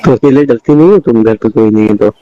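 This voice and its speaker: A man's voice: mid-range voice, fast, faint music behind, close to an everyday voice. A man playing an evasive, suggestive coaching teacher of about forty, speaking casually and hesitantly in a staged phone call. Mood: probing, evasive. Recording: dull, muffled phone call audio.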